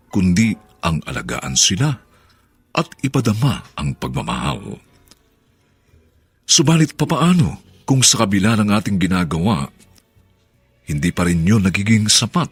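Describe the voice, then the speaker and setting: A man narrating and presenting a radio drama in a solemn, deliberate, scripted style. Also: low voice, husky.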